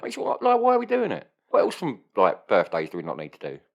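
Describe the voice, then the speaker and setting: A man's voice: on the high side, huge pitch swings, fast, gravelly, dull audio. A man, co-hosting a podcast in casual, rambling banter full of swearing and rhetorical questions. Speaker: podcast co-host.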